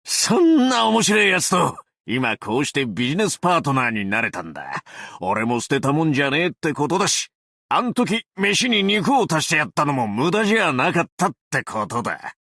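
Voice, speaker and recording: A man playing a brash, cocky explosives fanatic with a tail in an anime game, talking in rough, swaggering slang with a gravelly voice. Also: on the high side, big pitch swings, normal speed, a bit bright, dry recording, studio quality, exaggerated voice. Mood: cocky, confiding. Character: brash tailed male explosives fanatic, cocky bureau officer. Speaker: game character voice lines. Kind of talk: Japanese anime game voice acting.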